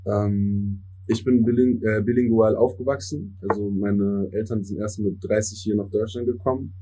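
A young man, a native English speaker, giving relaxed interview answers as a musician and mixing English words into his speech. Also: fairly low pitch, normal speed, breathy edge, poor audio. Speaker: musician guest.

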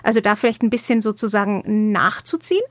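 A woman's voice: mid-range voice, fast talker, fairly bright tone, no echo. A woman interviewing a guest in a conversational style, summing up and putting questions.

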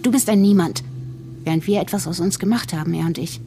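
A woman's voice: fairly low pitch, fast, dark tone, slight background noise, close to an everyday voice. A middle-aged actress playing a cold, snobbish, scheming female politician in an audio drama, with a condescending, matter-of-fact delivery. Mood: contemptuous.

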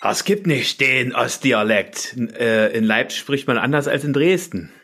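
A man from Berlin interviewing a musician in a casual, lively and curious chat. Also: mid-range voice, moderate pace.

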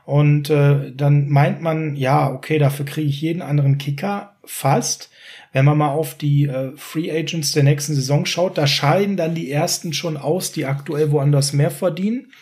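Chatty German podcast host, slightly high voice. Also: male voice, fairly even intonation, normal speed, a little mellow. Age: middle-aged. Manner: chatty and direct, speaks to listeners, tags "ne?". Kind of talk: casual sports podcast discussion.

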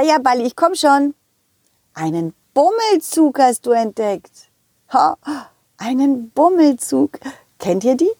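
A cheerful little male dog from a children's audio play, performed by a woman. The voice is high and very animated, eager and excitable, chatting straight to young listeners in a close, dry sound. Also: husky, dry recording, heavily acted. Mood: excited, eager. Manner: excitable, addresses children directly.

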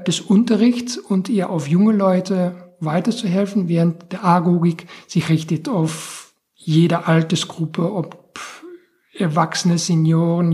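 A man in his forties from Belgium with a Belgian accent, answering interview questions in a halting way, often searching for words. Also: on the high side, mellow, breathy edge. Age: 40s. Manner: halting, searching for words with many fillers and non-native grammar. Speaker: interview guest, culture centre director.

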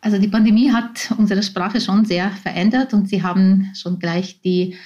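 A woman's voice: medium pitch, fairly even intonation, moderate pace, a little mellow, muffled recording. Ukrainian-native woman explaining in an interview. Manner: explanatory, often reformulates with "also". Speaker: linguist and guest expert. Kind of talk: podcast interview.

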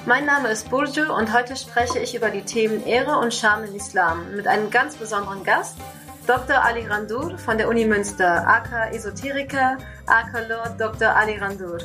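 Bright-voiced young woman podcast host. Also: fairly high pitch, moderate pace, soft background music. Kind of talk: podcast interview, asking questions.

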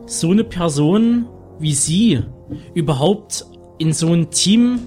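Slightly high-voiced man presenting film reviews. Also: lively intonation, slow pace, mellow, faint music behind. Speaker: review show co-host.

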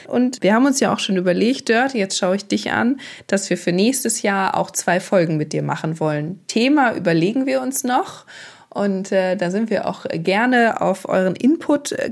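A woman's voice: mid-range voice, creaky. Upbeat woman hosting a podcast. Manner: upbeat, thanking the guest. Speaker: podcast host. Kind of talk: casual chat.

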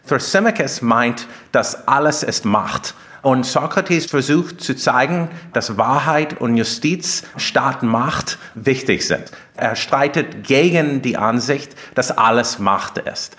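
Man with an American accent, medium pitch. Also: a bit bright. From United States. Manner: non-native German with small grammar slips. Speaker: interview guest, philosopher and author. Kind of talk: explanatory interview answers.

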